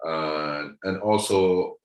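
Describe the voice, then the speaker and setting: A man's voice: deep voice, extremely slow. A man, chatting casually as a guest comedian, often repeating words as he searches for them. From France. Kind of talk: casual chat. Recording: roomy echo.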